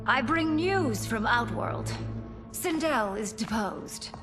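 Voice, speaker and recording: Defiant young princess-warrior, animated pitch. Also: female voice, takes it slowly, music in the background. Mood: scheming. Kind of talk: video game cutscene acting.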